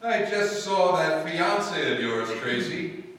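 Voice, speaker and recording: A man's voice: a little high, expressive, slow pace, fairly bright tone. A man acting in a 1940s-style radio drama as a stuffy, sardonic, middle-aged society father. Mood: dry amusement. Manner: pompous, dry. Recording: echoey hall from far off, faint noise.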